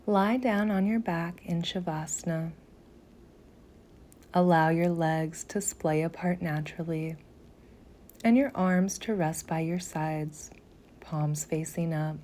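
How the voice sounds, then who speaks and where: A woman guiding a meditation in a soothing, gentle manner, softly breathy at phrase ends, with long pauses between short phrases. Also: fairly low pitch, fairly even intonation, slight background noise. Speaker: yoga nidra meditation guide. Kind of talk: slow guided meditation.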